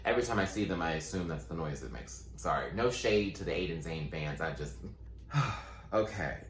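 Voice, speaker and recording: A man chatting sassily through a casual recap, his voice still low and groggy from just waking up. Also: expressive, reverberant. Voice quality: voice sitting lower than his normal. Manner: sassy, playful, quick asides. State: just woke up, morning voice. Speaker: vlogger and show recapper.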